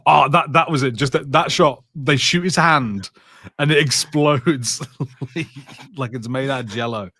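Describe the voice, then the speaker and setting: A man's voice: medium pitch, measured pace, pushed and bright, dry recording. Casual joking man, close dry sound. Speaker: podcast co-host. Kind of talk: casual watch-party chat about films.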